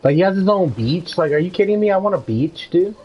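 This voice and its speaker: A man's voice: high voice, animated, fast, slightly rough. Slangy man, slightly dull stream audio. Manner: slangy, informal. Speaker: game streamer. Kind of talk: casual live gameplay commentary. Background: game music.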